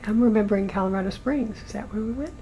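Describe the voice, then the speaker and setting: A woman interviewing an elderly relative, gently prompting her with questions. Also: medium pitch, fast, a little hiss or hum, low-quality recording. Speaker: family interviewer. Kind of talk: casual family interview.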